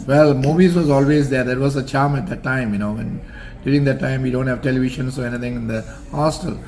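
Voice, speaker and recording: An older man from Singapore, answering interview questions about his college years in a measured, formal way with frequent pauses and fillers. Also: mid-range voice, a little mellow, a little hiss or hum, low-quality recording. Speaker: interview guest, alumnus.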